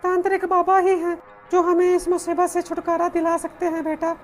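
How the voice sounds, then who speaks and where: Woman playing a high-voiced fussing village mother. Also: completely flat delivery, moderate pace. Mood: concerned. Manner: fussing, protective, chiding. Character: anxious, scolding village mother of a young girl. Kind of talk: Hindi animated horror story dialogue. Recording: music behind the voice.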